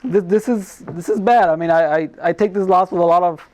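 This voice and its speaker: A man's voice: high voice, fairly quick, darkish tone. An American man hosting an unscripted talk show in a casual, informal way, often repeating himself.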